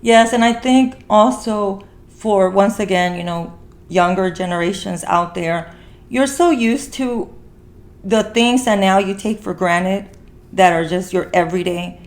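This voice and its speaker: A woman's voice: medium pitch, unhurried. Earnest woman hosting a casual talk. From Mexican heritage. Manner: earnest, repeats phrases for emphasis. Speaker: talk show host. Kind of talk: casual movie review discussion.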